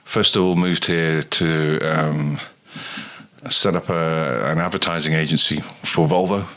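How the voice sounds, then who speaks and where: A rugby coach talking casually as a guest, with many ums and pauses. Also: male voice, extremely low pitch. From England. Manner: hesitant, many ums, relaxed. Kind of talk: casual interview chat.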